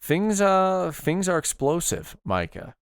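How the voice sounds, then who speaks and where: A man's voice: high voice, big pitch swings, slow pace, no room sound, clean studio sound. A man hosting a podcast, chatting casually about retro games in an upbeat, agreeable way and asking his co-host questions.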